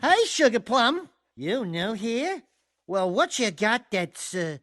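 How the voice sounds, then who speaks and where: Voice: medium pitch, very animated, takes it slowly, fairly bright tone, no echo, clean studio sound, soft background music. An actor voicing a cocky, wisecracking little furry male animal sidekick in a video game cutscene, brash and clowning. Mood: smooth-talking.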